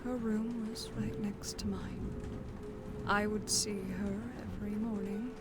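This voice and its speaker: A woman playing a stern, reserved nun with a measured, formal way of speaking in an audio drama. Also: medium pitch, little pitch movement, close to an everyday voice. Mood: solemn. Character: stern, composed, grieving tall nun who gardens. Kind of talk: audio roleplay character acting. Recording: loud music behind.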